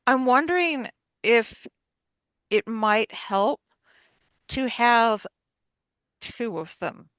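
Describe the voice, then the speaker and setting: Measured woman chatting, dry close sound. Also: fairly high pitch, a bit bright, no echo. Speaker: radio play narrator and panelist. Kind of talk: casual group discussion.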